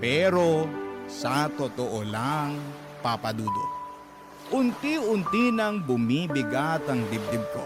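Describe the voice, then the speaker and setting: A man reading a letter as dramatic first-person narration, earnest and emotive, with measured pauses. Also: a little high, very animated. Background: prominent background music.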